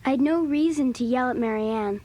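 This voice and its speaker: A woman's voice: high voice, brisk, mellow. An actress playing an emotional young teenage girl among club friends in a children's audio drama. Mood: apologetic. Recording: faint background noise on cassette.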